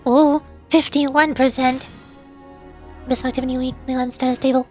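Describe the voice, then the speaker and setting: High-voiced woman streaming a game. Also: normal speed. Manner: playful, expressive. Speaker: vtuber streamer. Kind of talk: game livestream commentary, reading game text aloud. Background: game music.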